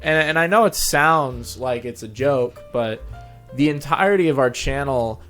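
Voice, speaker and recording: A man's voice: mid-range voice, measured pace, a bit bright. A man co-hosting a casual interview chat about his channel, speaking conversationally. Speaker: co-host and narrator of a story-reading channel. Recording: background music under the voice.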